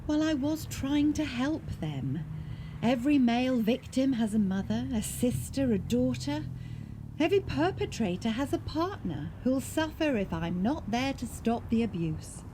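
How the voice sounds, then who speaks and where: Troubled support worker, high swooping voice, earnest and agitated, radio drama monologue, noisy surroundings. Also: female voice, very animated, measured pace. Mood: anxious, frustrated. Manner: earnest, agitated, self-questioning. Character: anxious, frustrated woman who ran a domestic abuse service. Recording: clear background ambient noise.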